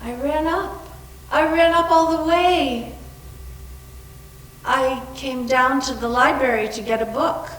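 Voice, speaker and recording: A woman's voice: high voice, takes it slowly, pauses often, fairly bright tone. A woman performing a fragile, desperate young woman in a dramatic readers theater piece, earnest and emotive. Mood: small, pleading. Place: large library room, live staged reading. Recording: distant echoey room, noisy live recording.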